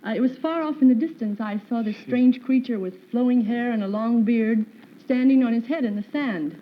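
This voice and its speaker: A woman's voice: a little high, a little fast. A woman in her twenties from Indiana, a game show contestant telling a story politely at a stand mic in a television studio. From Fort Wayne, Indiana. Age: 20s. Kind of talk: unscripted chat. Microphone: stand mic on stage. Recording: dull 1950s TV sound with faint hiss.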